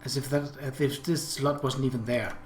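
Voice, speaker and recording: A man voicing an observant, possessive younger sister in a visual novel, speaking in a measured, reasoning way. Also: medium pitch, fairly even intonation, echoey room, a little hiss or hum, lightly acted. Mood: calm, reasoning. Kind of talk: visual novel dialogue read-aloud.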